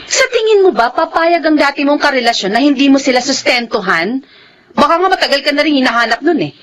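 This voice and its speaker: A high-pitched, practical young wife from a Filipino drama. She speaks emphatically, with theatrical drama delivery. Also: very animated, fairly quick, a bit bright. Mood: nagging, upset. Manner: emphatic, challenging, respectful to elders. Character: practical, sharp-tongued young wife named Mona.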